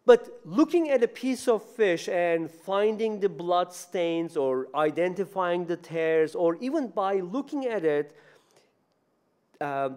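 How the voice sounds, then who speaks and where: A man in his fifties speaks English with a Turkish accent, his voice a little higher than most men's. He lectures into a podium microphone in a university room and talks casually, full of "you know" and restarted phrases. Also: a little mellow. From Turkey. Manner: conversational, many fillers and restarts. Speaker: robotics professor giving a talk. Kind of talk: public lecture.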